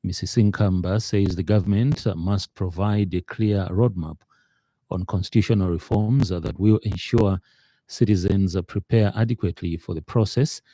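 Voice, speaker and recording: Dark-voiced Zambian man reading the news. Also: fairly low pitch, dry recording. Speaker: news reader. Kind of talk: formal radio news bulletin reading. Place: radio studio.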